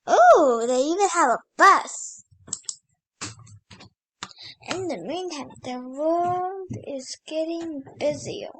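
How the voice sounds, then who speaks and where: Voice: high voice, lively intonation, slow pace, long pauses, background noise. A child hosting a read-aloud, reading a picture book and breaking off to exclaim over the pictures with wonder.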